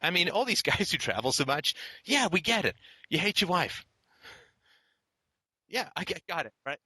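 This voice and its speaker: A blunt man hosting a call-in show, hammering his points home with repetition. His voice is forceful and bright, recorded dry and close. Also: a little high, big pitch swings, normal speed, slightly rough, dry recording. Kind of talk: blunt philosophical call-in talk.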